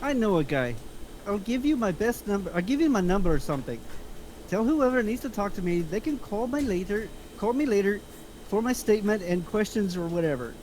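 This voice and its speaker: Anxious young man, read by a table-read performer, pleading, middle pitch, slight background noise. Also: lively intonation, a little fast, darkish tone, lightly acted, poor audio. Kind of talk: screenplay table reading. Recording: noticeable room noise.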